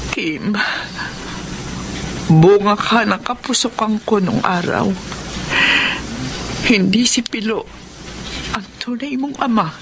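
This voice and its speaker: A woman's voice: medium pitch, slow pace, pauses often, background noise. A woman playing an anxious, protective middle-aged mother in an emotional Filipino radio melodrama. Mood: solemn, tender. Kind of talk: Filipino radio drama acting.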